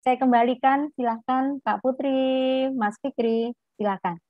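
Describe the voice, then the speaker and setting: A middle-aged woman, a doctor, giving a formal opening address over a video call, measured and pausing often. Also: sits high, steady tone, no room sound. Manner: measured, many filler "eh" pauses. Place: indoor room. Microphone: video call webcam. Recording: dull, dry video call audio.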